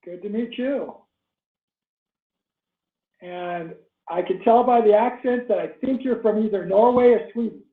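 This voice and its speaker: A high-pitched, chatty man hosts an online webinar with plenty of enthusiasm. His voice comes through a thin, phone-like line and bounces around an echoey room. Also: a little slow, pauses often. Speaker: webinar host. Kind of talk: casual online webinar hosting. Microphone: video call.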